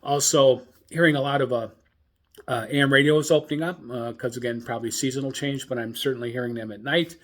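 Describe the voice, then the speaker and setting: A man with a mid-pitched voice hosts an informal show for radio hobbyists. He talks off the cuff in a casual monologue. His delivery is halting, with frequent ums and uhs as he searches for the next thing to say. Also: fairly even intonation. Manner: hesitant, halting, frequent long filler pauses.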